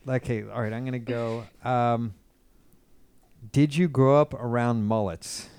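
A young man hosting a casual podcast, rambling and thinking out loud as he talks. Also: medium pitch, steady tone, measured pace, clear, resonant tone. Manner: loose, rambling, thinks aloud.

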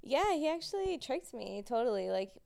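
A woman's voice: high-pitched, sing-song, moderate pace, creaky, a little mellow. A woman guest on a podcast telling a story in a giggly, chatty way with quoted asides. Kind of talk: casual podcast chat.

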